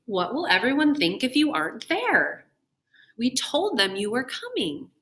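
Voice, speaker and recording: A woman's voice: on the high side, sing-song, measured pace, fairly bright tone, slight background noise, voice pushed up. A woman reading a storybook as a coaxing, cheerful man who chides and dismisses worries. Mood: amused reassurance. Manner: coaxing, chiding. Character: coaxing, cheerful husband, Mister Hartwell, firmly dismissing worries.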